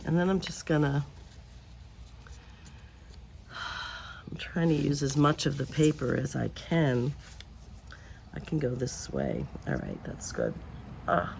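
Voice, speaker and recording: A woman hosting a crafting video outdoors. She talks in a quiet, subdued way, drifts into mutters and whispers as she works, and her voice is lower and deeper than usual. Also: gravelly, slight background noise. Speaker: host of a paper craft channel. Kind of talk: casual crafting chat while working. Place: outdoors, in a breeze.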